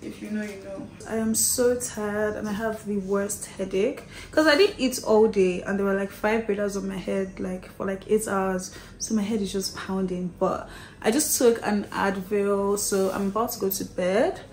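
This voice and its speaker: A woman's voice: medium pitch, fairly even intonation, moderate pace, a little mellow, echoey room, a little hiss or hum. A young woman, chatting casually to a handheld camera at home in a vlog, full of "like" fillers. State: sick, congested. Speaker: vlogger. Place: bedroom and apartment at home. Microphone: handheld camera at arm's length.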